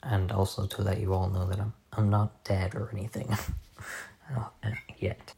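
A hoarse man hosting a casual solo podcast at home, soft-spoken and halting, recorded on a phone voice memo. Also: sits low, flat delivery, a little hiss or hum. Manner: soft-spoken, halting, drifting off mid-sentence. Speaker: podcast host.

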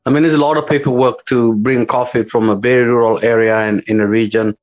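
A man with a South Sudanese accent answering interview questions as a company founder, in informal, halting, repetitive phrasing. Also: medium pitch, steady tone, faint music behind. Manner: informal, repetitive, halting phrasing with non-standard English grammar.

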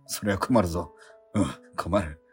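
A man playing a poor, wry struggling painter, low-voiced. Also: steady tone, moderate pace, faint music behind, close to an everyday voice. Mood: frustrated. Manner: muttering to himself, dry self-deprecating asides. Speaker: lead actor and narrator. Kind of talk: radio drama inner monologue.

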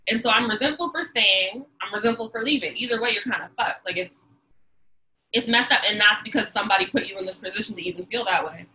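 A woman's voice: mid-range voice, fast, sharp tone, low-quality recording. A woman in her twenties, a podcast guest, giving frank and emphatic opinions in casual chat. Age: 20s.

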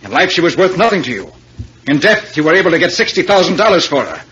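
A man's voice: a little high, big pitch swings, fast talker, a bit bright, breathy edge, exaggerated voice. An actor playing a haunted, suspicious rich man, brooding and accusing, in 1940s radio mystery style. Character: haunted, suspicious wealthy man turning insistent. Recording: dull, hissy old radio recording.

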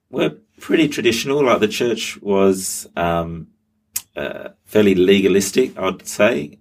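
A middle-aged man, a pastor, telling his story as an interview guest, hesitant with many ums and restarts. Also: sits low. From Australia. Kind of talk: interview guest, personal testimony.

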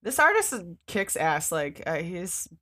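A woman with a fairly low voice talks as a podcast co-host. Her delivery is informal and keen, with casual swearing, as if chatting about comics with a friend. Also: huge pitch swings, a little slow, pushed and bright. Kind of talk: casual comic book discussion.

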